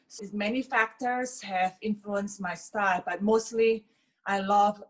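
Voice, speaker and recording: A woman's voice: mid-range voice, fairly even intonation, measured pace. Chatty woman from Indonesia. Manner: chatty, many fillers like "you know" and "like". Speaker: fashion influencer, interview guest. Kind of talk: casual interview answers.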